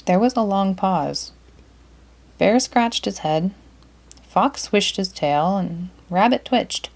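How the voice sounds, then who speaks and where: A woman narrating a children's picture book aloud in an expressive, deliberate way. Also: mid-range voice.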